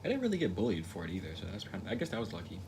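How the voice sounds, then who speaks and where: A young man with a very deep, low voice talks casually while painting, with a stutter. He rambles and reflects, repeating words and circling back to restart his thoughts. Steady background noise runs under the recording. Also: racing. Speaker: livestreaming painter. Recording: noticeable ambient noise.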